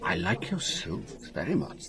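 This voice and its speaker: An actor playing a blunt, worldly police inspector in a radio drama, brusque, commanding and wry. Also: male voice, sits high, huge pitch swings, normal speed, creaky, sharp tone, heavily acted. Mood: wry, friendly. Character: blunt, worldly Dutch police inspector, narrating his case. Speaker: actor and narrator.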